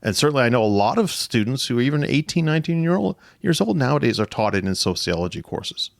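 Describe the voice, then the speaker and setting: A man's voice: mid-range voice, very animated, quick pace, creaky, no room sound, clean studio sound. A man hosting a conversational interview, often repeating words and restarting as he feels out his questions. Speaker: podcast host.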